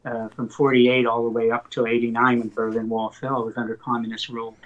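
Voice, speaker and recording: An older man whose first language is Slovak, telling rambling stories as a poet being interviewed and getting animated in the anecdotes. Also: mid-range voice, fast talker, lo-fi sound. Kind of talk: conversational storytelling interview.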